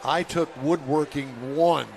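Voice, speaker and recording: A man's voice: fairly high pitch, slow, creaky, a little hiss or hum. A man hosting a live car talk show, chatting and bantering with guests in a joking, folksy way. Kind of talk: live interview and casual banter. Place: remote broadcast at an event venue. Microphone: broadcast microphone.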